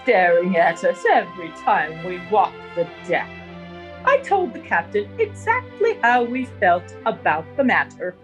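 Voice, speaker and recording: An actress playing a snobbish, scolding older aunt in a prim, fretful manner, in 1930s radio drama style. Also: female voice, lowish voice, very animated, a little slow, rough recording. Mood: haughty, fussy. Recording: 1930s radio recording with music.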